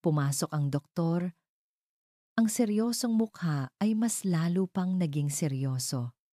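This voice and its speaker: Female storyteller for scripted audio drama: low-pitched, dark mellow tone, dramatic delivery. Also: animated, measured pace, no room sound, clean studio sound. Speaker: narrator.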